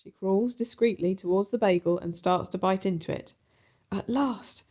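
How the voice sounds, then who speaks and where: A woman narrating a children's book aloud in an expressive storybook style. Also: mid-range voice, fairly quick. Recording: slightly dull, muffled recording.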